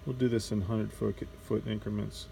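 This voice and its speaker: Casual male vlogger, flat and creaky, unscripted, outdoor phone audio with light noise. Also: fairly low pitch, normal speed. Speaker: vlogger testing drone gear. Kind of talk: casual unscripted narration of a field test. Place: outdoors at night in a neighborhood. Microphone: handheld cell phone. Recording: noisy outdoor cell phone recording. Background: outdoor ambience and passing vehicle noise.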